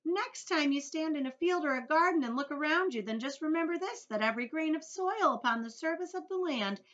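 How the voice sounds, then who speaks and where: A woman's voice: high-pitched, expressive, a little fast, fairly bright tone, voice pushed up. A woman voicing a self-important male earthworm in a storybook, fussy, emphatic and pompous. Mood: boastful. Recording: dull lo-fi recording.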